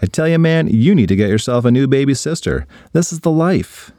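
A man voicing a lazy, carefree young boy who brags in a relaxed way. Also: mid-range voice, fairly quick, dry recording, clean studio sound, lightly acted. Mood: laid-back. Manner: laid-back, boastful.

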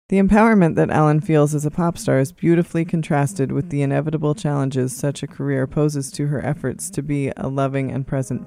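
A narrator reading a scripted album review in a measured, written style. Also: medium pitch, warm tone.

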